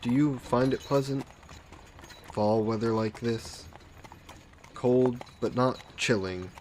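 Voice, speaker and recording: A man playing a suave, possessive vampire lord in an intimate fantasy audio roleplay, speaking softly and coaxingly with condescending affection, as if hypnotizing a captive. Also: medium pitch, slow pace, a little hiss or hum, lightly acted. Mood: calm, wistful. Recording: steady background carriage and rain ambience. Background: horse-drawn carriage and rain sound effects.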